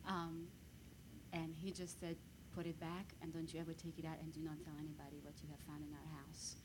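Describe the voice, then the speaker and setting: Fast-talking woman with a Hungarian accent. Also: low-pitched, noisy background. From Romania. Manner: lively storytelling, bursts of emphasis. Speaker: guest speaker. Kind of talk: personal testimony on stage.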